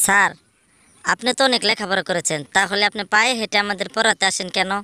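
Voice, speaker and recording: A woman's voice: mid-range voice, steady tone, pushed and bright, natural voice. Woman voicing a cheeky cartoon schoolboy, faintly noisy recording. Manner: impudent, quick with comebacks. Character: cheeky schoolboy Boltu, smart-mouthed class joker. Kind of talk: comedy cartoon dialogue in joke skits.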